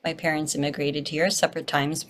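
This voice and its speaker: Woman guest from Toronto, low-voiced. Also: flat delivery, fairly quick, a little hiss or hum. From Toronto, Canada. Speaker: guest, home stager. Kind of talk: casual interview answers.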